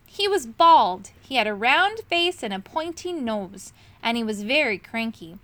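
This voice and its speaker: A woman voicing a small, touchy male dragon who squeaks out his words. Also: very animated, unhurried, bright, forceful tone, no echo, exaggerated voice. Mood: sad. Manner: squeaky and indignant.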